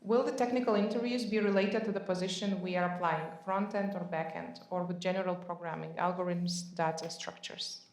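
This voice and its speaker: A woman's voice: fairly low pitch, fairly even intonation, fairly quick, hardly any pauses. A woman hosting a live panel in an office, reading out audience questions and answering practically. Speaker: event host and recruiter. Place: office event space.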